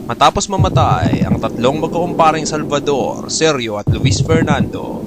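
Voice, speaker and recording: A man's voice: expressive, normal speed. A man narrating as the announcer of an amateur radio serial, in a dramatic scripted style. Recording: very noisy, rumbling windy homemade recording.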